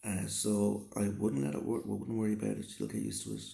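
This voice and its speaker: A man with a rough, hoarse voice from a cold, giving casual, reassuring advice and often repeating and rephrasing himself. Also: fairly low pitch, brisk, mellow. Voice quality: gravelly, slightly rough. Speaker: vlogger answering a subscriber. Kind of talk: casual advice talk to camera. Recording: roomy, echoey sound.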